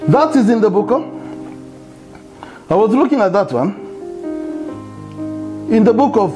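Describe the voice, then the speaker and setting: Animated pastor preaching over music. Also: male voice, high-pitched, unhurried, low-quality recording. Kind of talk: sermon. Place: indoor studio set before a plain wall. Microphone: lapel mic.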